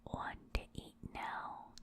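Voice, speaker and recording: A woman reads aloud in a soft, unvoiced whisper for ASMR. Her delivery is very slow and deliberate, with a soothing manner meant for relaxing. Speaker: ASMR creator reading game creature entries.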